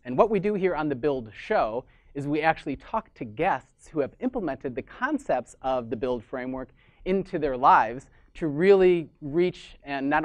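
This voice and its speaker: A man's voice: mid-range voice, dry recording. A man hosting a business interview show, enthusiastic and a little repetitive, speaking from Sydney. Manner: enthusiastic, repeats "amazing", some restarts. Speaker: podcast host. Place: Sydney, Australia. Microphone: video call.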